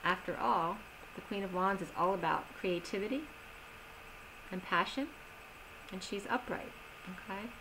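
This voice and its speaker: A woman giving a tarot reading in a slow, soothing manner, slightly strained as she is losing her voice. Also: mid-range voice, noisy background. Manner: slow, soothing reading of oracle cards with gentle pauses. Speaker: tarot reader. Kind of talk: solo tarot reading video.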